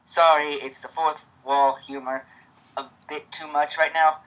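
A man's voice: on the high side, flat delivery, a little slow, a bit bright, poor audio. A man with a stutter hosting a casual reading of a fan story, with many restarts.

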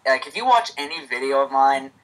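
A man's voice: mid-range voice, lively intonation, fairly quick, fairly bright tone, rough recording. A man with a stutter hosting a casual reading of a fan story, with many restarts.

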